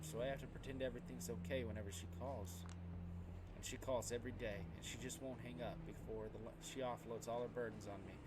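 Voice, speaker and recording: A male let's player reads visual novel narration in a dramatic way, now and then tripping over a word. His pitch is average for a man. The recording carries clear background noise. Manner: dramatic reading with stumbles over words. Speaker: video game streamer. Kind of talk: let's play read-aloud of visual novel text.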